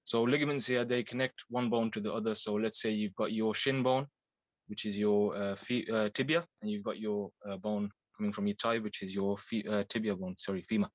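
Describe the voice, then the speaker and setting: A young man, twenty-one, explains fitness in an informal online talk, pausing on fillers and correcting himself. His voice sits a bit low for a man. The call audio is dull and dry, with no room around it. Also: fairly even intonation, no echo. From Belgium. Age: 20s. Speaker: Thai boxing coach.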